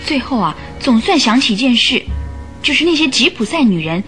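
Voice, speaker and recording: A woman's voice: fairly high pitch, sing-song, fairly quick, fairly bright tone, faint music behind. An actress in a radio drama playing an earnest, troubled young woman who gives careful, emotive accounts. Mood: earnest, recalling. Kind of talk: old Chinese radio drama acting.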